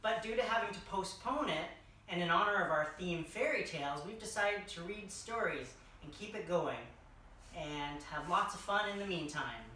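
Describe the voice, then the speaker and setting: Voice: mid-range voice, lively intonation, normal speed. A young adult hosting a children's story time, reading a picture book aloud as a costumed king. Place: large furnished room indoors. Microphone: distant camera microphone. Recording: echoey room, distant mic, faint noise.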